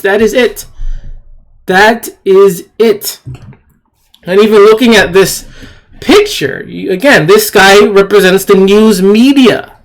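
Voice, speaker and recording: A commentator giving blunt, indignant and disdainful off-the-cuff opinions, with a halting, repetitive, emphatic delivery. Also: mid-range voice. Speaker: video commentator.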